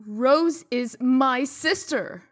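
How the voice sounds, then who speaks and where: A woman's voice: high voice, very slow, a bit bright, full character voice. A woman playing a stern, composed government official in a fairy-tale comic dub, formal and insistent. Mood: insistent, serious. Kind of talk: dramatic comic dub acting.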